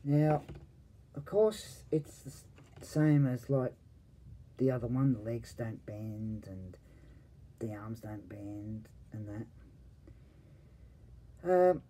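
A man in his forties casually reviewing a toy at home, hesitant and often trailing off quietly, recorded on a webcam. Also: medium pitch, expressive, takes it slowly, long pauses, a little hiss or hum, poor audio. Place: home room full of toys and posters.

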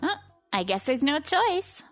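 A woman's voice: sits high, very animated, a little slow, a bit bright, full character voice. A woman voicing a bossy but caring teenage delinquent girl in a manga comic dub, casual, blunt and teasing. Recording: background music under the voice.

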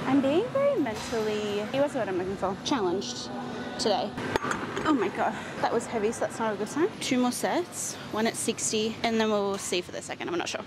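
A woman's voice: on the high side, very animated, a little fast, loud music behind the voice, rough recording. A woman in her twenties with an Australian accent chats casually and candidly to herself during a workout, with a self-deprecating, slangy way of talking. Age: 20s. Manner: exerting herself between sets. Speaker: fitness vlogger. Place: large concrete-walled gym, indoors. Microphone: clip-on mic on her top. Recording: loud gym ambience noise. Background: loud gym background noise.

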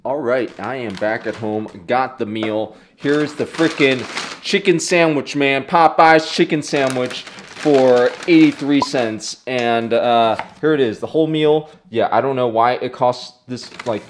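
Excitable young man vlogging casually. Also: mid-range voice, slight background noise. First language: not English. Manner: excitable, slangy, says "dude" and "freaking" a lot. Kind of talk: casual vlog to camera. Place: car, then kitchen, then living room, indoors.

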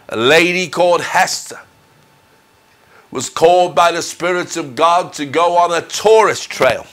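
Male minister, middle-aged, slightly high-pitched voice, very unhurried pace, earnest testimony, deliberate phrasing. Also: fairly bright tone. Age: middle-aged or older. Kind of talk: personal testimony sermon. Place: indoors in front of a dark curtain. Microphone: lapel mic.

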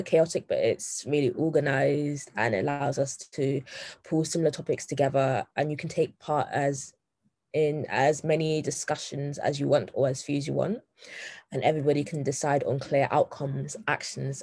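A woman's voice: low-pitched, steady tone, moderate pace, darkish tone, slightly rough, no echo. A woman facilitating a community meeting over a video call, speaking with frequent hesitations. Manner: hesitant, many ums.

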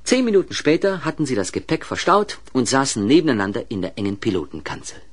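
A man narrating a children's radio play in an expressive, scripted storytelling style. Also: mid-range voice, fast talker, slightly rough. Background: music.

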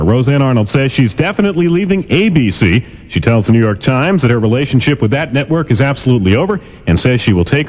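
Man reading radio news, lively pitch. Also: low-quality recording. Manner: crisp, measured newsreader delivery. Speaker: radio newscaster. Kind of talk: news and sports update.

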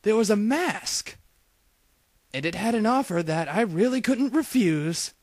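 A man acting high, swooping Southern cartoon townsmen. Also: expressive, measured pace, dry recording, studio quality. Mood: rueful storytelling. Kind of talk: parody cartoon dub acting.

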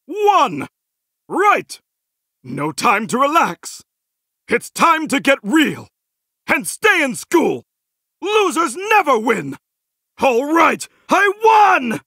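A man's voice: very high voice, wildly swooping pitch, slow, vocal fry, bright, forceful tone, no echo, clean studio sound, heavily acted. A male actor voicing a goofy, earnest middle-aged superhero in a fighting video game, with cheesy, exclamatory heroic delivery. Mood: triumphant, cocky. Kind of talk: video game battle barks.

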